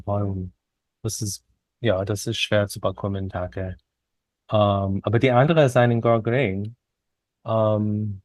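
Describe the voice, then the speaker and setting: A man interviewed as a guest poet, speaking a learned second language in hesitant, deliberate short phrases with pauses. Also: on the low side, a little mellow, no room sound. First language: not a native speaker of Yiddish. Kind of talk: reflective interview conversation.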